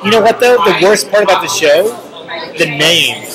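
A man's voice: sits high, normal speed, a crowd in the background, low-quality recording. A young man co-hosting a casual chat about a show, playful and chatty, filmed up close at a restaurant table. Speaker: co-host. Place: busy restaurant bar at night. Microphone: camera held close at the table. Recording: loud bar music behind.

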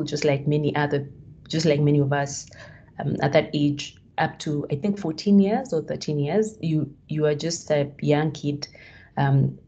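A woman's voice: low-pitched, mellow. A woman from Kenya in her thirties giving a hesitant talk on a video call, with many fillers and restarts. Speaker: guest speaker. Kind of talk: online presentation on a video call. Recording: dull lo-fi call audio.

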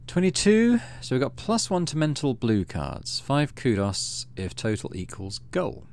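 A man narrating a game playthrough casually, reading text aloud and thinking out loud in an easygoing way. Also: mid-range voice, wildly swooping pitch, a little slow. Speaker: gaming video host.

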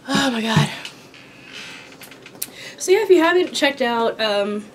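A young woman from Kentucky chatting casually while eating, her voice raspy from a sore throat, rambling with many ums. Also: on the high side, expressive, slow, slight background noise. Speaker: vlogger. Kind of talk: casual mukbang chat. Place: small indoor room with dark curtain backdrop.

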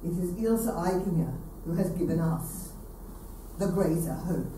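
A woman presenting a ceremony to an audience, speaking solemnly in short, measured phrases with pauses. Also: on the low side, lively intonation, dark tone. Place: hall with seated audience, indoors. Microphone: distant camera. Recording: very echoey room, distant mic, slight noise.

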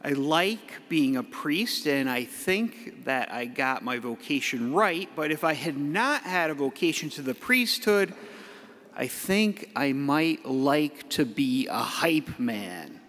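A middle-aged man, a priest, giving a playful homily from the pulpit with the odd hype-man shout. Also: a little high, very animated, slow. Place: large church. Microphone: pulpit mic. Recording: echoey church hall.

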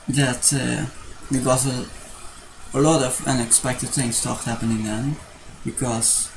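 Young dutch guy rambling on webcam in his room, slow and kinda gravelly. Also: mid-range voice, slight background noise, low-quality recording. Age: young adult. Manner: rambling, restarts often, hesitant fillers. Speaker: vlogger. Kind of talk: casual rambling vlog. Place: small bedroom with posters. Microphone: webcam at desk.

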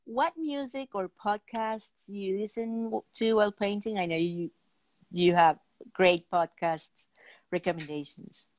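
A middle-aged woman from Venezuela speaks in a casual interview. Her pitch is average and she feels her way hesitantly through sentences. The sound is close and dry, as on a video call. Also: measured pace, dry recording. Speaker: talk show host. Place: indoor room, remote video call.

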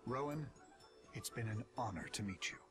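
A man's voice: on the low side, expressive, normal speed, music in the background, lightly acted. A man voicing a serious, remorseful middle-aged soldier in a game cutscene, speaking solemnly and earnestly. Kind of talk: scripted game cutscene acting.

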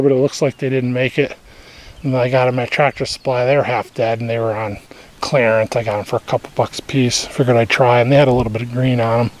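Casual man, slightly high-pitched, narrating outdoors. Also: a little mellow. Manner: unscripted, plainspoken. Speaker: homesteader hosting a garden tour vlog. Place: outdoors in a rural yard. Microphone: handheld camera's built-in microphone, speaker behind camera.

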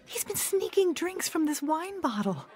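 A woman playing an animated farm mother in a radio drama, exclaiming in startled, amused scolding. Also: very high-pitched, a little slow, exaggerated voice.

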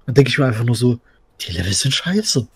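A man's voice: mid-range voice, sing-song, a little fast, gravelly, darkish tone, a little hiss or hum. A man, co-hosting a gaming podcast in blunt, slangy casual talk. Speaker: podcast co-host.

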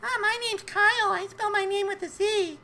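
Squeaky, eager gamer guy. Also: fairly even intonation, moderate pace, sharp tone, full character voice. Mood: mocking eager. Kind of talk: mocking impression.

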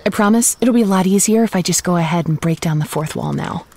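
A woman's voice: fairly low pitch, fast, darkish tone, slightly rough. An actress playing a chatty, quirky zombie woman in her thirties, narrating a comedic audio drama with wry, playful asides. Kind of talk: comedic audio drama monologue, breaking the fourth wall.

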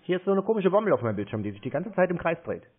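A man's voice: sits high, big pitch swings, very fast, breathy edge. A man baiting a phone scammer, sarcastic and mocking. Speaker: scam baiter. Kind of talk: prank phone call. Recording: tinny phone line.

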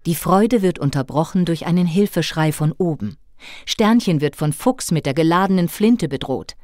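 Lively woman narrating a children's story. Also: deep voice, moderate pace. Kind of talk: scripted children's audio-play narration.

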